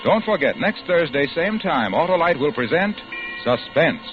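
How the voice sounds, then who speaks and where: Man, old-time radio announcer, brisk salesy read, lively pitch, dull broadcast sound. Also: music in the background.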